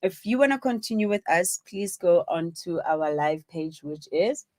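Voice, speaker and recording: Teasing woman in her forties, low, dry. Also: animated, normal speed, darkish tone, dry recording. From South Africa. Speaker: co-host. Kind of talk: casual chat while eating on a live stream.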